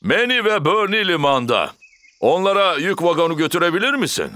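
A man's voice: high voice, very animated, fairly quick, bright, forceful tone, heavily acted. A man playing an elderly, kindly railway stationmaster in a children's cartoon dub, fretful, hesitant and full of exclamations. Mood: kindly, businesslike.

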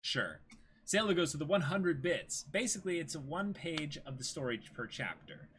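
A man in his twenties with a bright, forceful voice and lively, swinging intonation. He co-hosts a livestream, moving between casual chat and reading out comments with animated delivery. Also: a little high, normal speed, a little hiss or hum. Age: 20s. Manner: animated, exclaiming thanks to viewers. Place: small indoor room with a backdrop.

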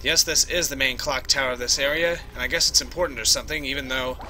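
A man's voice: mid-range voice, quick pace, sharp tone. A young man casually commenting on a game he plays, goofy and jokey, chatting to the characters on screen. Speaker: let's play host. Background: game music.

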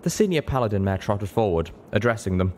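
A man's voice: fairly low pitch, lively intonation, fast talker, a little mellow, a little hiss or hum. A man reading scripted story narration, in the manner of an audiobook. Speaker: audiobook-style reader, show host.

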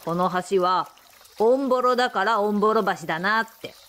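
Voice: medium pitch, brisk, a bit bright, a little hiss or hum, natural voice. A performer voicing a stubborn young boy in a radio drama, curt, matter-of-fact and insistent. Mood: flatly insistent.

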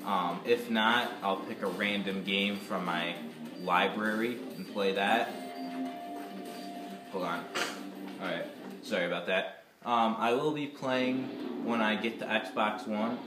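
A man's voice: lowish voice, fairly even intonation. Stuffy-voiced teen boy gamer, echoey room. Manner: chatty, many ums, quick reactions. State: stuffy and sore-throated from a cold. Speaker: gaming YouTuber. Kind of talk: casual gameplay commentary. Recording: echoey room with loud game music. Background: loud game music and gunfire.

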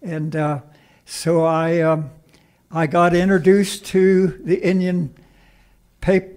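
A man's voice: fairly high pitch, little pitch movement, slow pace, darkish tone, clean tone. A man in his eighties from Pennsylvania with a Pennsylvania Dutch accent, casually reminiscing as a radio interview guest in a folksy way. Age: 80s. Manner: folksy, chuckles often.

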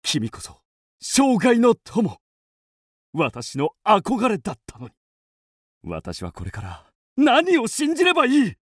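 A man's voice: high-pitched, huge pitch swings, takes it slowly, pauses often, no room sound, clean studio sound, full character voice. A male actor playing a noble, earnest young bandit chief in a radio drama, with dramatic delivery. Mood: outraged, wounded. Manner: earnest, dramatic exclamations and musing. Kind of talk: theatrical radio drama acting.

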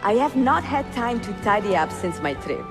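An actress playing a gracious, spirited young Italian bookseller, with an Italian accent and polite, welcoming manner in a video game. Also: female voice, mid-range voice, sing-song, normal speed, big-room sound, over music, close to an everyday voice. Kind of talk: cinematic video game acting.

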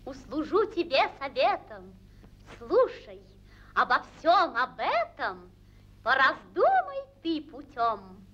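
High-voiced, bright swan princess. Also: female voice, wildly swooping pitch, takes it slowly, exaggerated voice. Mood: gentle, solemn. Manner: melodious, stately verse delivery. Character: enchanted swan princess, young, tender and wise. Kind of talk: 1940s animated fairy-tale film acting. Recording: old 1940s film soundtrack, music behind.

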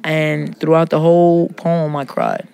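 A man's voice: on the high side, level tone, unhurried, creaky, darkish tone. A man speaking candidly and personally in a conversational discussion. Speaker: prison poetry student.

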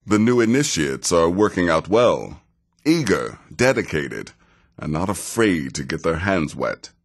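An actor playing a seasoned, sardonic assassin in a video game, speaking in a measured, dry way with wry menace. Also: male voice, low-pitched, sing-song, exaggerated voice. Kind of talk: video game character dialogue.

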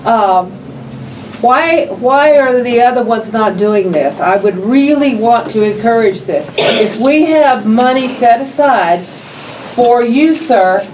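Older lady at a county board table, loud and blunt, kinda far off the mic, faint hiss. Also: a little high, lively intonation, slow pace. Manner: loud, plainspoken, reads figures aloud. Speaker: justice of the peace. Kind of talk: public meeting remarks. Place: county meeting room, around a long table. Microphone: table microphone, camera across the room. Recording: distant mic, faint room noise.

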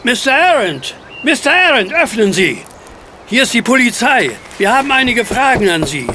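An actor playing a brusque, skeptical and bossy police superintendent with a gravelly voice in a German audio drama. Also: male voice, very high-pitched, big pitch swings, moderate pace, sharp tone, a little hiss or hum, exaggerated voice. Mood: demanding, loud. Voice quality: gravelly, creaky. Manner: brusque, barking orders.

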